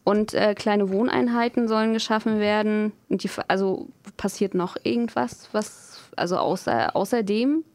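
A woman's voice: mid-range voice, fairly even intonation, moderate pace, few pauses, a little mellow, no echo. A woman interviewing in a casual, conversational way, with hesitant, informal phrasing.

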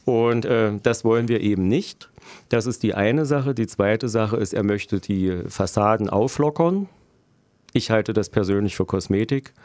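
A middle-aged man from Germany answering interview questions as a local politician, explaining deliberately and haltingly with dry irony. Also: on the low side, darkish tone, no echo. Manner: deliberate, halting, dryly ironic. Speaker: local politician interviewed, road planner by profession.

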